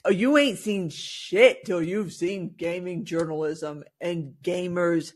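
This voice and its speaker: A man's voice: sits high, slow pace. A man co-hosting a podcast, talking casually and giving his opinions. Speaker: podcast co-host.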